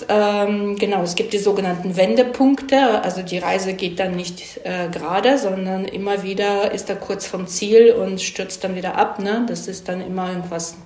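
A woman's voice: mid-range voice, darkish tone. A woman explaining her craft conversationally as an interviewed screenwriter, feeling for phrases and often ending on tag questions. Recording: roomy, echoey sound.

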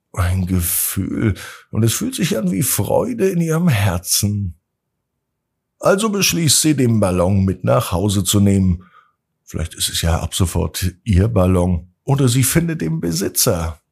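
Low, gravelly male bedtime storyteller. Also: darkish tone. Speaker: bedtime story podcast host.

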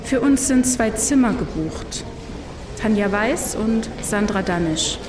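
A woman's voice: medium pitch, animated, slow pace, music in the background. An actress playing a weary, easygoing woman traveller in an audio drama, in a conversational tone. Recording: clear ambient noise behind the voice.

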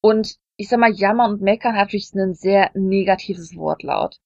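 Casting: woman, conversational podcast co-host, self-deprecating storyteller, medium pitch, dry sound. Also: moderate pace, a little mellow, no room sound, highs cut off. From Germany. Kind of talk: casual conversational podcast talk with personal anecdotes.

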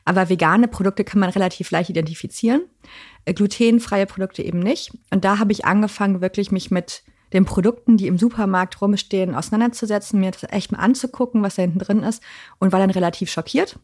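A woman's voice: medium pitch, a little mellow, slightly rough. Young woman founder, casual and chatty, fillers, mid-range voice. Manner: chatty, many fillers, quick asides. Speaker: founder and podcast guest. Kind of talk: casual interview chat.

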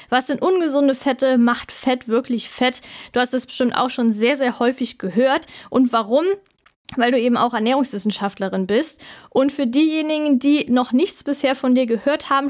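A woman in her thirties hosting an interview podcast in a casual, colloquial way. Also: high voice. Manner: chatty, colloquial. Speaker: podcast host.

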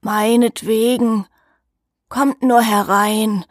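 A woman playing an old woman, the ogre's wife, who warns and commands in measured phrases in a fairy-tale audio drama. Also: fairly high pitch, fairly even intonation, no room sound, studio quality. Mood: grudging warning. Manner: slow, deliberate, measured phrasing.

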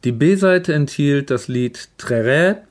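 A man's voice: fairly high pitch, lively intonation, takes it slowly. A man delivering scripted trivia narration in a factual, precise manner.

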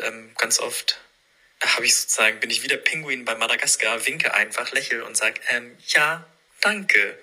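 A man talks informally as he puts a question to others. His voice is very bright and forward, at a middling pitch, and he hesitates often as he searches for words. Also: rough recording. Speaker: listener sending in a question.

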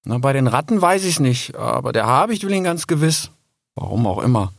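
A man's voice: mid-range voice, sing-song, a little fast, creaky, no echo, clean studio sound, pitched above the performer's own voice. A man voicing an earnest, cautious young male animal in a storybook, his voice raised well above his own.

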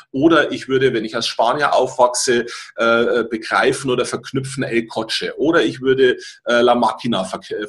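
A man's voice: medium pitch, fairly bright tone. A man, a native German speaker, presenting an explanatory webinar as a language-learning coach in a didactic way, repeating key words and checking in with listeners.

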